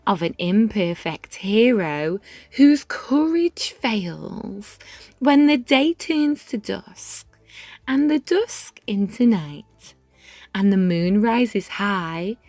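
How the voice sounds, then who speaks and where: A woman reading a rhyming children's story aloud in an expressive, measured way, with dramatic pauses and hushed moments. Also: medium pitch, no room sound. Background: background music.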